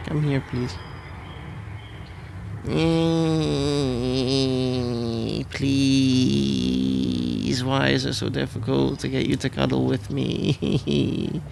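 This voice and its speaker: A man's voice: mid-range voice, gravelly, noisy background, heavily acted. A man playing a sleepy, cuddly husband in an intimate ASMR roleplay, drowsy, affectionate and rambling, with playful melodrama. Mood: coaxing, drowsy.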